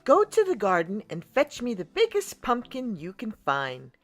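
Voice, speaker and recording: A woman voicing a kindly fairy godmother in a storybook, gentle, reassuring and purposeful as she gives instructions. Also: mid-range voice, huge pitch swings, unhurried, faint music behind, pitched above the performer's own voice.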